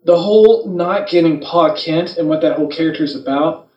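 A man's voice: on the high side, fairly quick. A man co-hosting a podcast in casual debate, insistent and explanatory, on a muffled, distant recording. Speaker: podcast co-host. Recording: muffled, echoey, distant microphone.

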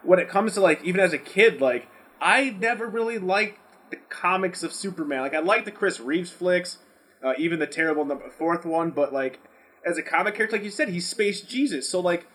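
Foul-mouthed man with a bright, forceful voice. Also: high-pitched, a little fast. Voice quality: profane, blunt talk. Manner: rambling, animated ranting with mimicked quotes. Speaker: podcast co-host. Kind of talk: casual movie debate.

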